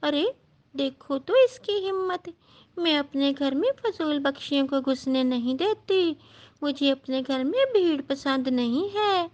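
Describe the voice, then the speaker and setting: A woman's voice: high-pitched, expressive, a little slow, a little mellow, muffled recording. A woman voicing a bossy, brusque crow aunt with a motherly side in a cartoon story. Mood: grudging.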